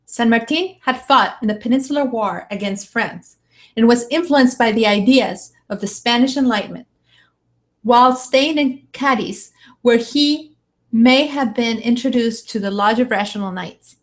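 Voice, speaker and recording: Woman narrating in a higher-pitched voice. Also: moderate pace. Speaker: narrator reading an article. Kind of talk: scripted narration, read aloud. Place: small room, indoors. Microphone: studio condenser mic with pop filter.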